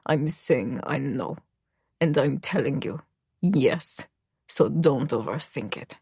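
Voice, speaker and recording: A woman voicing a cold, domineering young man who talks in a low, quiet growl. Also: takes it slowly, gravelly, husky, no echo, studio quality, heavily acted. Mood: menacing.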